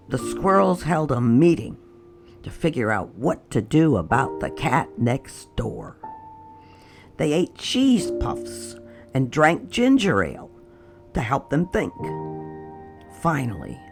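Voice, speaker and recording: Slow, animated storytime narrator with gravelly creak. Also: mid-range voice, faint music behind. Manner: expressive, unhurried, addressing young children. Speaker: storytime host and read-aloud narrator. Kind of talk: children's picture book read-aloud.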